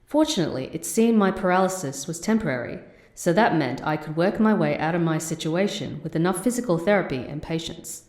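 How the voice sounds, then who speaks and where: A woman playing a composed, wry former agent working in a call centre in an expressive audio drama. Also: fairly low pitch, fairly quick, few pauses, reverberant, natural voice. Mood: somber, reflective. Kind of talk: audio drama narration and dialogue.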